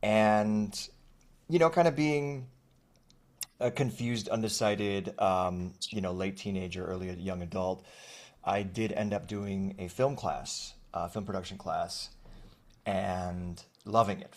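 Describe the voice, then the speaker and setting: A man in his forties, raised in Thailand, speaking as an actor guest in a conversational interview over a video call, reflective and halting with many fillers. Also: low-pitched, a little mellow.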